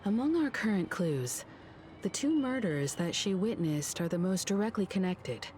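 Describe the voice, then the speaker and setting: Actress as analytical game team leader: slightly low register, composed, articulate, faint noise behind. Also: female voice, lively intonation, moderate pace, darkish tone, close to an everyday voice. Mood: thoughtful. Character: poised, analytical adult female expedition leader. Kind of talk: scripted game dialogue.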